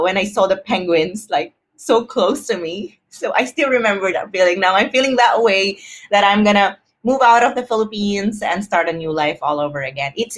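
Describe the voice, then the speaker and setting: Female podcast guest, mid-range voice, casual and expressive, acts out quoted thoughts. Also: medium pitch. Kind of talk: casual personal conversation.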